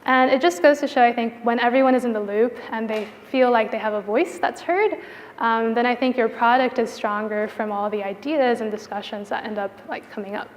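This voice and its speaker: High-voiced woman presenting in a reverberant hall. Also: fairly quick, slight background noise. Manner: casual, informal phrasing with frequent "like" and "you know". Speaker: product designer giving a conference talk. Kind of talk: conference presentation, conversational. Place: indoor conference venue. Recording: reverberant hall with long echo.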